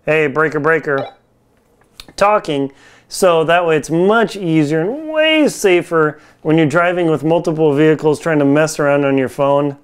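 Man, forties, slightly high pitch, crisp clear tone, casual reviewer, informal slangy chat, lapel mic, indoors. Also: normal speed. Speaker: product reviewer. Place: small home kitchen, indoors.

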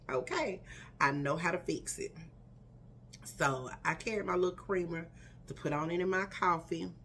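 A woman's voice: deep voice, a little hiss or hum. A woman, chatting casually to camera in her living room, talking straight to her viewers. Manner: chatty, animated, addresses viewers as y'all and girl. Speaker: vlogger. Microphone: camera in front at desk distance.

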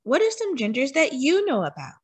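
A woman with a husky voice reading a children's picture book aloud expressively, putting questions to young listeners. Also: high-pitched, wildly swooping pitch, fairly quick, no echo. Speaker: read-aloud storyteller for children.

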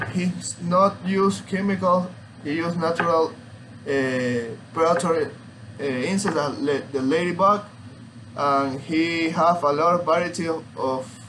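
Slow, halting young man presenting. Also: a little high, fairly bright tone, slight background noise, low-quality recording. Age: young adult. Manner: halting, hesitant, searching for words. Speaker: student. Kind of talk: informal student oral presentation. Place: small room at home, indoors.

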